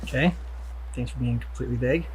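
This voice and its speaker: A man's voice: medium pitch, quick pace, slight background noise, poor audio. A man giving casual reaction commentary, with wry, sarcastic asides. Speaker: reaction video host. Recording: loud game music behind the voice.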